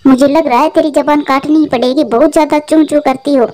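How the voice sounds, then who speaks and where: A woman's voice: high-pitched, fairly even intonation, fairly quick, warm tone, lightly acted. A woman playing a domineering, scolding old mother-in-law bird in a Hindi cartoon. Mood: spiteful. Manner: bossy, threatening.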